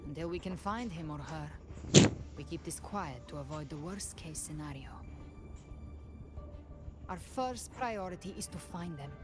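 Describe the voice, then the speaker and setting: Confident monster hunter avenger for a video game, low voice, measured n dramatic, loud music under it. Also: female voice, lively intonation. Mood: intent, grave. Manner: measured, dramatic, self-assured. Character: cool, confident female avenger hunting a dark monster. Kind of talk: scripted video game voice acting.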